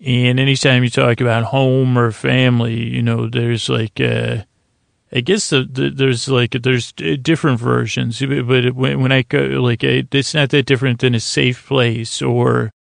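Male podcast host, heavy gravelly creak, close dry sound; halting, meandering bedtime monologue. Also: medium pitch, flat delivery, no room sound. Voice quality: creaky, slightly smoky. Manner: meandering, halting, self-deprecating. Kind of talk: rambling, soothing bedtime monologue.

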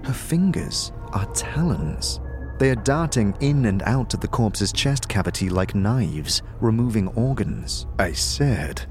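A man's voice: lowish voice, expressive, measured pace, a little mellow, soft background music. A man reading scripted fantasy narration as a storyteller, vividly addressing the listener. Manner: vivid second-person storytelling.

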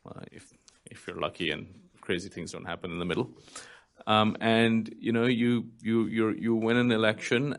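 A man with a fairly deep voice speaks on a discussion panel. His manner is candid and explanatory, in a loose conversational style. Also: fairly bright tone.

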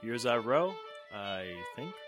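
A man's voice: mid-range voice, very animated, slow, music in the background. A man playing an earnest, curious young man in a radio drama, with a boyish, anxious manner. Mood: easygoing.

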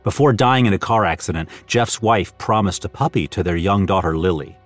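A man hosting and narrating a scripted audio drama trailer with measured, dramatic storytelling. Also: low voice, faint music behind. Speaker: host and narrator.

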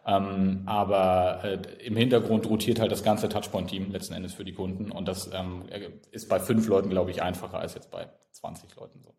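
A man in his thirties with a northern German accent and a fairly low voice, speaking as a guest in a relaxed interview. He hesitates often, filling pauses and restarting his sentences as he thinks. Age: 30s. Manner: hesitant, many fillers and restarts. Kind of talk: casual interview talk.

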